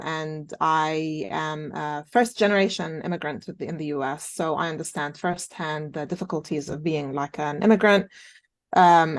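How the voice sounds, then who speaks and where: A woman in her forties, a native Arabic speaker originally from Jordan, calmly answering interview questions with frequent pauses and fillers. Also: low-pitched, no echo. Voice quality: thoughtful, many "um" and "you know" fillers. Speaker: interview guest, occupational therapist. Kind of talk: conversational interview.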